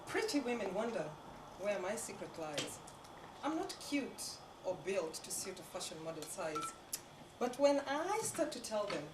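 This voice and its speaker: Young woman, stage poetry reader, high and very animated, roomy distant recording. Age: young adult. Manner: bold, expressive, with emphatic pauses. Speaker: student performer. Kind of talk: dramatic live poetry recitation. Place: indoor college event room. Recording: echoey noisy room, distant mic.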